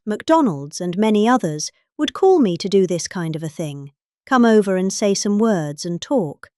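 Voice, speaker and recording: British female, middle-aged, comic speech reading with pomp, exaggerated stresses and lively intonation, recorded dry. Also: mid-range voice, no room sound. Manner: pompous, exaggerated emphasis. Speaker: performer reading out a political speech.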